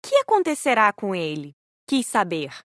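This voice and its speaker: A woman's voice: mid-range voice, wildly swooping pitch, normal speed, dry recording, studio quality. A woman reading scripted first-person narration as an audiobook narrator.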